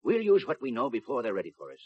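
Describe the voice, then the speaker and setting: Police inspector: gravel-edged, blunt, persuasive, lively pitch swings, vintage radio crime drama, dull sound. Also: male voice, quick pace, dry recording. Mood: persuasive, earnest. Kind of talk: 1950s radio drama acting. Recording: dull, dry 1950s radio recording.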